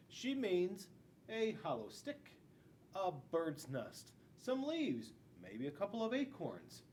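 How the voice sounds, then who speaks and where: Animated, high-pitched man reading to kids. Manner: playful, addressing young children. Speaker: school resource officer reading to children. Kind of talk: storybook read-aloud with chatty asides. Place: school classroom. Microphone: camera a few feet away. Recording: distant mic, faint room noise.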